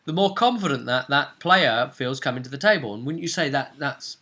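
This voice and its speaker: A man's voice: mid-range voice, lively intonation, a little fast, pushed and bright. A man hosting a casual, playful tabletop role-play recap in a jokey, hammy way. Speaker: host and game master recapping a tabletop role-play story. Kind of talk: casual, jokey story recap for a video audience.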